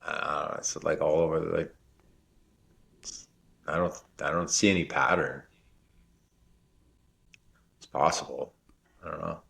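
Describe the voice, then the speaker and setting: A man co-hosting a podcast about fatherhood, talking in a relaxed, rambling way with frequent restarts. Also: very deep voice, unhurried, creaky, long pauses, breathy edge. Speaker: podcast co-host. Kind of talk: casual podcast chat.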